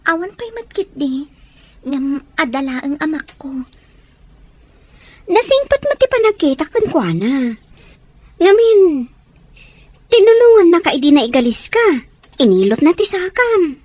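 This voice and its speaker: A very high, girlish voice of a young woman played by an actress in an Ilocano drama. She has a Filipino accent and sounds guarded yet tender. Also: sing-song, a little slow, pauses often, dark tone, no room sound. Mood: guarded, reflective. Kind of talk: Ilocano radio-style drama acting.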